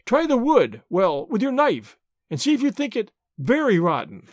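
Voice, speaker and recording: A man voicing an obsessive, excitable gentleman who gives urgent, impatient orders in formal diction for a storybook reading. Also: very high-pitched, moderate pace, dry recording, clean studio sound, pitched above the performer's own voice, exaggerated voice. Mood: excited, commanding.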